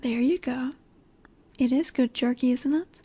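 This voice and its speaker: A woman playing a dominant, nurturing snake-woman monster in an intimate roleplay audio, speaking in a gentle, coaxing way that often sinks toward a whisper. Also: high-pitched, measured pace, breathy edge. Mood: gently firm.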